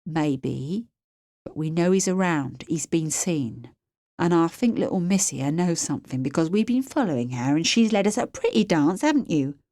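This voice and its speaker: A woman's voice: sits low, expressive, normal speed, dark tone, no room sound, studio quality. A woman voicing a bullying, businesslike male kidnapper with blunt, controlled threats in an audiobook. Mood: insistent. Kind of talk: audiobook character voice.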